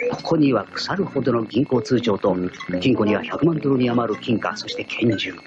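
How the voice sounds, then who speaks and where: Male actor as proud thief, wry, theatrical radio drama storytelling, lively swooping pitch, lo-fi sound. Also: natural voice. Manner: theatrical, self-assured storytelling. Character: cocky gentleman thief, proud self-styled genius, wry. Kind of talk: first-person radio drama narration. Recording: dull lo-fi archive radio recording, loud music.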